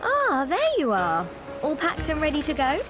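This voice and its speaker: A woman's voice: sits high, huge pitch swings, moderate pace, music in the background. A woman voicing a chipper, businesslike female organiser in a video game cutscene. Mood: cheerful, brisk.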